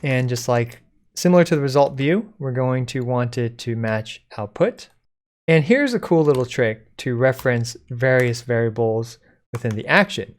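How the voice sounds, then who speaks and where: A man presenting a code-along software tutorial in an informal, step-by-step explaining way. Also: mid-range voice, moderate pace. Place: indoors at a computer, screen recording.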